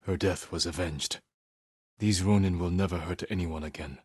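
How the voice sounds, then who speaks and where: A solemn, determined samurai from a video game. His voice sits very low with a rough husk to it, recorded dry and close. He speaks in short, measured lines with serious, honourable resolve. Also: steady tone, a little mellow, no echo. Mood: low, intent. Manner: terse, measured, serious delivery.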